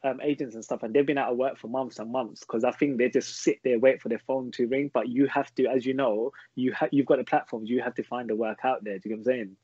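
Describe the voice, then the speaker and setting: A man's voice: medium pitch, steady tone, dark tone, breathy edge, no room sound. A man, hosting a podcast interview over a video call from home, often restarting his sentences. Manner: halting, lots of restarts. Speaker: podcast host. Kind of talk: casual interview chat. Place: small room at home. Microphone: video call with earbuds. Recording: dry, muffled video call audio.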